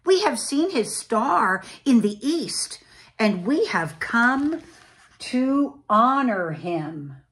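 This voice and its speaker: A woman's voice: fairly high pitch, very animated, drawn-out words, a bit bright, pitched above the performer's own voice, full character voice. A woman voicing earnest travelling wise kings from a storybook, in an emphatic, stately manner. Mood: earnest, questioning.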